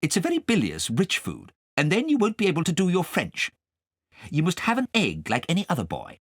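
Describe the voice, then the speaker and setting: Man putting on a shrill-pitched nagging governess. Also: wildly swooping pitch, a little fast, no echo, studio quality, exaggerated voice. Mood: cross, scolding. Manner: sharp, nagging, fussy. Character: strict, cross governess, scolding adult woman.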